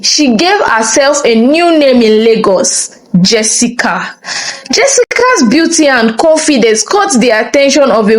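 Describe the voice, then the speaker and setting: Slow, high-pitched woman telling a folktale. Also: low-quality recording. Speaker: storyteller. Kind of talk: dramatic scripted folktale narration.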